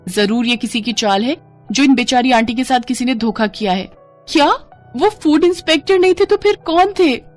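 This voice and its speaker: A woman's voice: high-pitched, normal speed, a little mellow, faint music behind, natural voice. A woman voicing a kind, helpful young female customer in a moral story, eager to help. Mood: concerned. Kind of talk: storybook character acting.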